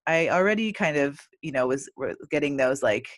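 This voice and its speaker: A woman's voice: deep voice, lively intonation, moderate pace, no room sound. A woman hosting a podcast who chats casually with her guest, using many "like" and "um" fillers. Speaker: podcast host. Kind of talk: casual interview conversation.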